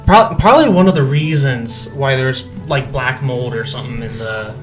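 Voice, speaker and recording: A woman of about twenty co-hosting a college radio chat, talking casually in a halting, slangy way. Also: extremely low pitch. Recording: music and crowd chatter behind. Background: music, people chattering.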